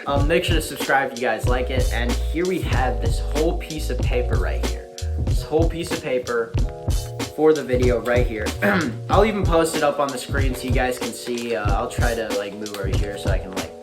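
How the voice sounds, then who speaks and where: A young American man chatting casually to his viewers in a halting, informal way, thinking aloud as he goes. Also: medium pitch. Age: young adult. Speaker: YouTuber. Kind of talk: casual vlog chat to viewers. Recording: music playing behind the voice. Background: background beat music.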